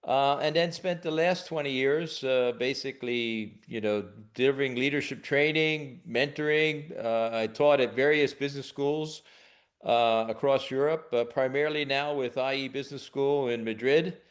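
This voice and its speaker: A man's voice: medium pitch, moderate pace. Conversational American man. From United States. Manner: relaxed, conversational, restarts words often. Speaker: guest leadership expert. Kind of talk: webinar interview.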